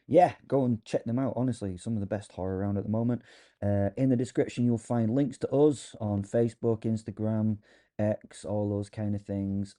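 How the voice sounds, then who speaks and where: A man's voice: lowish voice, dark tone. A man giving a casual, unscripted podcast introduction as host, in a rambling, informal manner.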